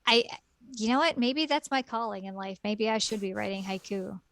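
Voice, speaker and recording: A woman's voice: medium pitch, normal speed, vocal fry, fairly bright tone, no echo, clean studio sound. A woman co-hosting a casual podcast chat, rambling and giggly with lots of fillers. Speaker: podcast co-host.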